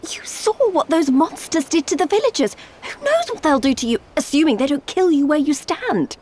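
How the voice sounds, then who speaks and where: Earnest, high-voiced rebel fighter. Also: female voice, sing-song, normal speed, a little mellow, slight background noise. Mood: alarmed, pleading. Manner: earnest, emotional. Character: impassioned, loyal young female rebel fighter. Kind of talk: video game voice acting.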